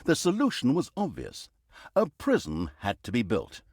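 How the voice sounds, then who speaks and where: A theatrical male storyteller of an evil fantasy realm in a video game. His delivery is sardonic and mock-grand, with huge swings in pitch around a medium register. The sound is dry, with no room on it. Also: wildly swooping pitch, moderate pace, a little mellow, husky, no echo, exaggerated voice. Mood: wry, explanatory. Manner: wry, mock-grand, fourth-wall-breaking storyteller. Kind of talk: theatrical scripted comic fantasy narration.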